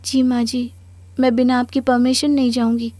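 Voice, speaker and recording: Lady playing polite young daughter-in-law, hindi cartoon, highish, sweet n deferential, dry sound. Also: level tone, normal speed, mellow, no room sound, lightly acted. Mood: obedient. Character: polite, sweet young modern bride, eager to please. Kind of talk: Hindi cartoon moral story acting.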